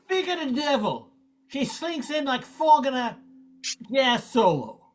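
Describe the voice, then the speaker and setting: A world-weary storyteller from a noir radio comedy, acted by a woman. The voice sits a little high and moves in animated swings, wry throughout. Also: unhurried, a little hiss or hum. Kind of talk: amateur noir radio narration.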